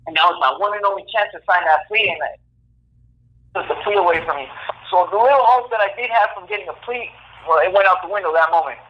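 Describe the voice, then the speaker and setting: Young man, high voice, casual slang storytelling, repeats himself, muffled phone-line sound. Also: fast. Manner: street slang, repeats phrases, says "you know" often. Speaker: incarcerated storyteller. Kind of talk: casual first-person storytelling. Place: jail, calling in by phone.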